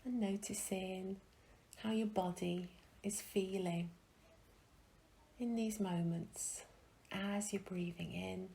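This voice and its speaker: A woman guiding a meditation in a soft, breathy, soothing way, leaving long pauses between phrases. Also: mid-range voice, steady tone. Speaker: meditation guide. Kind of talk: guided meditation.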